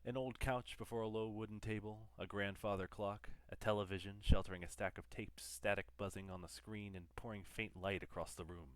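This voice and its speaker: A male narrator reads a scripted audio drama. His voice sits fairly low, and the sound is close and dry with little room to it. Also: fairly even intonation, fairly quick, hardly any pauses, no room sound.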